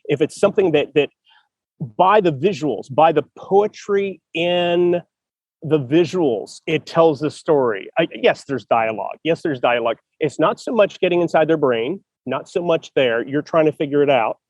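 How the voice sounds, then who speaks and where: A man's voice: on the high side, expressive, moderate pace, dry recording. A man hosting a casual chat about writing, talking with enthusiasm and thinking aloud with many restarts. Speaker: podcast host.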